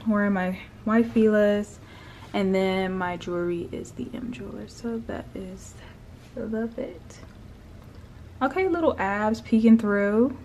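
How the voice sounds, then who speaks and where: A young woman vlogger with a hoarse, raspy voice after a concert, chatting to camera in a gushing, chatty way full of "like" and "literally". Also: mid-range voice, a little slow, darkish tone. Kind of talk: casual vlog chat to camera. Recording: clear background ambience noise.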